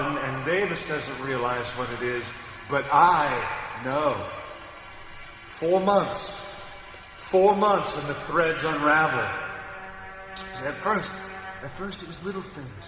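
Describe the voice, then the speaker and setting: A brooding astronaut doctor from a radio drama, slow and halting in a slightly high voice. He repeats and breaks off phrases as if thinking aloud. Music plays clearly behind a muffled recording. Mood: uneasy, brooding. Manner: halting, broken phrases, trailing repetitions. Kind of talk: radio play acting.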